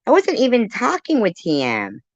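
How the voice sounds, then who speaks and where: A woman in a heated, informal argument, defensive and quick to contradict. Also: on the high side, wildly swooping pitch, no room sound. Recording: muffled lo-fi audio.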